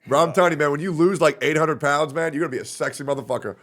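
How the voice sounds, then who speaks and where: A man's voice: on the high side, racing, a bit bright. A man hosting a gaming stream, chatting on a voice call with crude, teasing banter. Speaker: streamer host.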